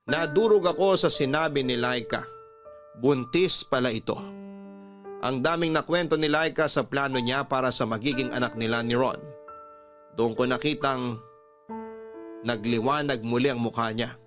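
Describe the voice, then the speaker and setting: Guy narrating a radio love story, scripted first person, mid-pitched voice, faint music behind. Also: moderate pace, long pauses. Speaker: radio drama host and narrator. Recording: music bed under the voice.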